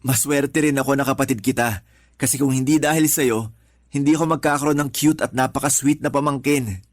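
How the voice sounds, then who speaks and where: A man playing a devoted, supportive younger brother in a radio drama, conversational, reassuring and given to gentle teasing. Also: medium pitch, fairly even intonation, brisk, no room sound, lightly acted. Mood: reassuring, earnest. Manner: earnest, reassuring, lightly teasing. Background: background music.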